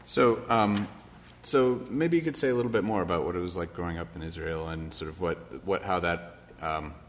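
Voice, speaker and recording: A man's voice: sits low, fairly quick. A man interviewing informally, tentative and searching for his words as he frames questions. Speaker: interviewer, moderator. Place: large echoey room or hall. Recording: reverberant hall with background noise.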